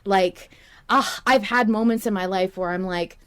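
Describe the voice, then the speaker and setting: A woman hosting a casual fan discussion podcast, speaking in an emphatic, passionate and halting way. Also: mid-range voice, a bit bright, clear, resonant tone. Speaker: podcast host.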